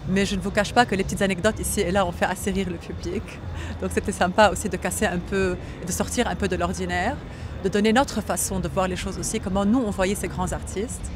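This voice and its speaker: A performer from the show, talking about it in a relaxed, chatty way. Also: medium pitch, brisk, music in the background. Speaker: performer interviewed. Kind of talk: interview answer.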